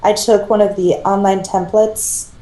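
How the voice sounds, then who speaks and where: A woman's voice: mid-range voice, level tone, a little slow, warm tone. A woman talking in a casual, conversational way. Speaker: fan fiction reader. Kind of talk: casual chat. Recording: roomy echo.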